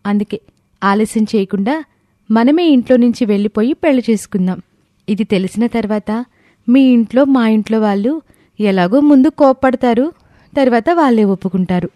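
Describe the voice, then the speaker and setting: A woman's voice: fairly high pitch, dark tone, dry recording, close to an everyday voice. A woman playing a resentful, scheming young college girl in an audio story, complaining and persuasive. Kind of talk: scripted audio story dialogue.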